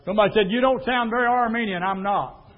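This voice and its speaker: A man preaching a fiery sermon, dropping to a low murmur and then rising to a shout. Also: fast talker. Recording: tinny phone-like sound.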